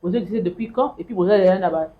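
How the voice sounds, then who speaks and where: A man, an interviewer asking quick, pressing questions. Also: high voice. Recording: tinny, phone-like, off-mic.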